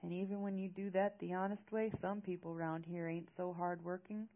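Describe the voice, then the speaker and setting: A woman voicing a gruff, protective old rural man in an audiobook, with a folksy drawl. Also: sits high, flat delivery, moderate pace, highs cut off. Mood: weary, folksy. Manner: folksy drawl with rustic grammar.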